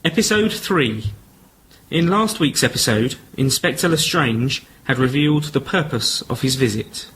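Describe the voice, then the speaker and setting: A man's voice: mid-range voice, expressive, a little slow, a bit bright, poor audio. A man announcing and narrating a radio drama from a script. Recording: background noise.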